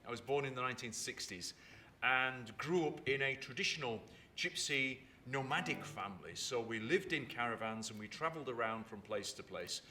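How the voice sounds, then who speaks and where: A man in his fifties, from England, telling stories about his upbringing in an unhurried way and repeating words for emphasis, recorded in a theatre. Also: medium pitch, lively intonation, sharp tone, slight background noise. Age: 50s. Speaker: storyteller and writer. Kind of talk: reflective storytelling chat.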